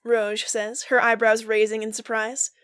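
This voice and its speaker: Woman narrating a fanfic, highish voice, super dry close recording. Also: flat delivery, measured pace, fairly bright tone, smooth, dry recording. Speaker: podfic voice actor. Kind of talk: reading fan fiction aloud with acted dialogue.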